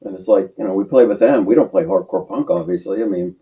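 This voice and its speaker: A man's voice: deep voice, fast talker, muffled recording. An American man from western Pennsylvania talking casually as a band member being interviewed. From Western Pennsylvania, United States. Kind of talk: casual chat.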